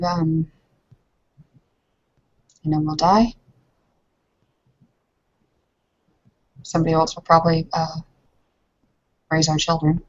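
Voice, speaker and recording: A woman playing a nervous, polite young wife in a tabletop roleplay, speaking in a halting, awkward way. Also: low voice, dull audio, full character voice. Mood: shaken. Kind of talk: tabletop roleplay, improvised in character.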